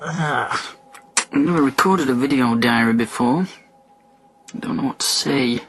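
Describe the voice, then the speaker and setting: A man's voice: medium pitch, measured pace, fairly bright tone. A bitter confined astronaut man, sardonic, keeps pushing questions, radio drama with music under it. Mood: uneasy, rambling. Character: bitter, questioning male astronaut woken from long coma, confined. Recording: music behind the voice.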